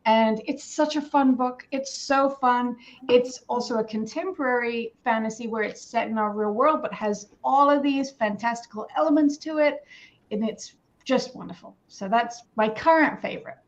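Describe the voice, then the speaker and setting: A woman's voice: on the high side, normal speed, lo-fi sound. A middle-aged woman author chatting casually on a panel, with lots of fillers and restarts.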